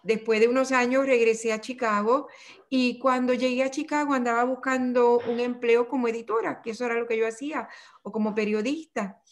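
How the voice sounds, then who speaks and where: A woman's voice: fairly high pitch, steady tone, a little fast. Older Puerto Rican woman, reflective. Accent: Puerto Rican Spanish. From Puerto Rico. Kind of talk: remote interview conversation.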